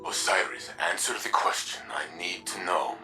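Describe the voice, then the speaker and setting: A man voicing a gentle, melancholy robotic warrior in a video game, speaking solemnly and haltingly as he doubts himself. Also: deep voice, faint music behind. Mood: pleading. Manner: slow, halting, solemn and formal. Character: gentle, melancholy war machine man doubting his own worth. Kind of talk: scripted video game voice acting.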